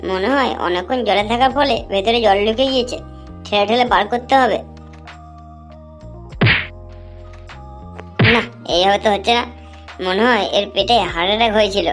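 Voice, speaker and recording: A woman's voice: medium pitch, quick pace, soft background music, natural voice. A woman voicing a blunt, foul-mouthed young village clothes seller in a cartoon, given to grumbling and scolding. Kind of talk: cartoon dialogue.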